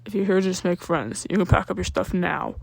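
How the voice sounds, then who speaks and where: A woman voicing a tired, curt male professor with a strict, deadpan manner in a story reading. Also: lowish voice, fairly quick, vocal fry, no room sound. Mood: stern, weary. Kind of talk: storybook character dialogue in fan fiction reading.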